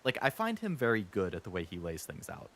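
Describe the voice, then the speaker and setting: Man streamer with a low voice and occasional stutter. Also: wildly swooping pitch, a bit bright. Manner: stutters now and then when talking fast; rambling, chatty, lots of fillers. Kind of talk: casual chat while streaming a game.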